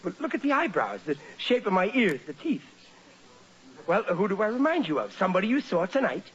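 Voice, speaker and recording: An actor playing a vain, blundering middle-aged working-class husband in an old-time radio sitcom, folksy and bumbling. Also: male voice, sits high, big pitch swings, brisk, a bit bright, breathy edge, exaggerated voice. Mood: preening. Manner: folksy, bumbling and hesitant, self-satisfied. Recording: muffled, very noisy old radio recording.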